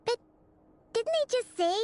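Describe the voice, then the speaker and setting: A woman voicing a tiny, chatty, excitable female companion in a video game cutscene, talking about herself in third person. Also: very high-pitched, heavily acted. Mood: puzzled. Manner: refers to herself in third person, quick mood swings. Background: game soundtrack music.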